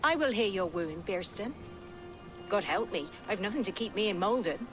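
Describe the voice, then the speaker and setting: An actress playing a plucky, poor middle-aged village woman in a medieval video game, earnest and expressive with archaic phrasing. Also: mid-range voice, moderate pace, over music, lightly acted. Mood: warm, frank. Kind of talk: dramatic video game acting.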